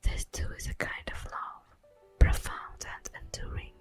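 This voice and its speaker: A woman playing a solemn, tender elf guardian of the forest in a breathy, whispered ASMR roleplay, speaking formally and poetically. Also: faint music behind, lightly acted. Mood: tender, earnest. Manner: slow, formal, poetic, drawn-out delivery.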